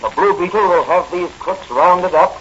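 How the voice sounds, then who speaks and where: An actor playing a take-charge masked crime fighter giving authoritative instructions in 1940s radio acting. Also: male voice, high voice, moderate pace, fairly bright tone. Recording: muffled, faintly hissy old radio recording.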